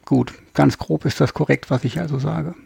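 A man's voice: fairly high pitch, fairly even intonation, normal speed, vocal fry, a little mellow, breathy edge, dry recording. A man hosting a podcast, chatting in a colloquial, informal way. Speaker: podcast host. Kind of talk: casual chat.

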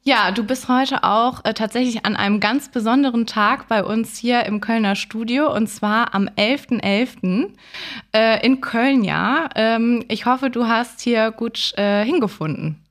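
A woman's voice: a little high, measured pace, a bit bright. A woman hosting an interview podcast, introducing and questioning a guest. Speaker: podcast host.

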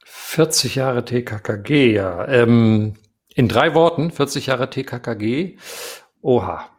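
A middle-aged man, a voice actor, chatting casually as an interview guest, hesitant with many fillers and quick to laugh. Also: mid-range voice. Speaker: radio play voice actor, guest.

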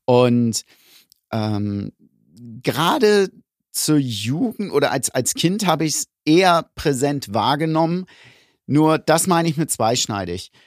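A man's voice: a little high, very animated, slow, dry recording, clean studio sound. A man from Germany co-hosting a casual podcast, explaining his views at length in a reflective way. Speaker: podcast co-host.

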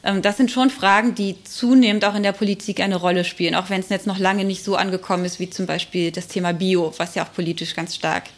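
A woman giving informal expert answers in an interview, speaking thoughtfully and with frequent hesitant pauses. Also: medium pitch, fairly even intonation.

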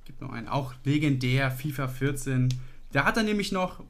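A man's voice: mid-range voice, animated, moderate pace. A young man co-hosting a casual podcast, eagerly recalling old memories and blurting out quiz answers. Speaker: podcast co-host, quiz contestant. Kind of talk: casual chat.

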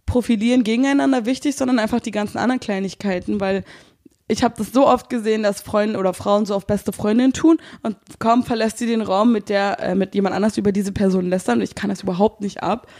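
A woman's voice: medium pitch, steady tone, fast, mellow. Give me a blunt, outspoken woman from Berlin with a Berlin accent chatting on a podcast. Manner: blunt, outspoken, swears freely. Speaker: podcast co-host. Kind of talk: casual chat.

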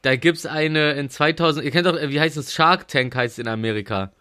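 Male podcast co-host, bright and forceful, slightly high voice, chatty and rambling, stumbles over starts. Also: a little fast, clean tone. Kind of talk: casual banter among friends.

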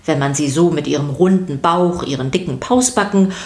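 A woman's voice: low-pitched, normal speed, darkish tone. A woman narrator reading a scripted podcast for children.

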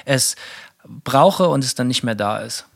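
A man's voice: medium pitch, lively intonation, measured pace, gravelly, dry recording, clean studio sound. A man co-hosting a casual podcast chat, rambling with many fillers and restarts. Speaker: podcast co-host.

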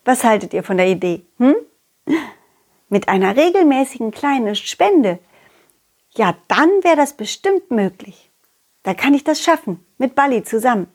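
A woman's voice: fairly high pitch, very animated, moderate pace. A woman voicing a confident, loyal, cheerful dog who talks affectionately and encouragingly to children in an audio story. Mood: chatty, encouraging. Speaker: puppeteer hosting a children's audio story podcast.

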